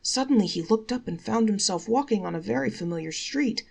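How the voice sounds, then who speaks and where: A woman reading a folktale aloud as expressive storytelling narration, measured and drawing out key words. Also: medium pitch, gravelly.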